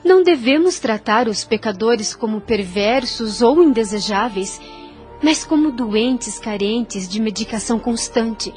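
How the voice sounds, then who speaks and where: A Brazilian actress playing a gentle, devout, self-sacrificing young daughter in an audio drama, speaking in earnest, formal phrases. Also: female voice, on the high side, animated, measured pace, faint music behind. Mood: earnest compassion. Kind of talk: Brazilian radio drama acting.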